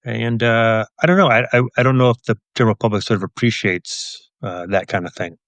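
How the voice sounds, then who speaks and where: Male interview guest, cop-turned-writer. Low pitch, dry close sound, thoughtful and explanatory delivery. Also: fairly quick, a bit bright, no room sound. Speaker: police officer and author, interview guest. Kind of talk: thoughtful conversational interview answers.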